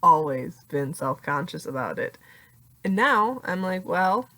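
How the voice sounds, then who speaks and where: A young adult woman with a deeper voice talking casually in a confessional vlog, full of "like" fillers and laughing often. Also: very animated, unhurried, bright, forceful tone, no echo. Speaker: vlogger.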